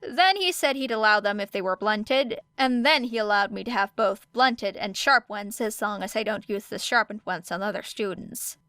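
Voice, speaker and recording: A woman's voice: mid-range voice, moderate pace, few pauses, bright, forceful tone, no room sound, studio quality, natural voice. A woman voicing a guarded teenage girl, a hero student who is apologetic and casually understated, in an audiobook story. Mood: matter-of-fact.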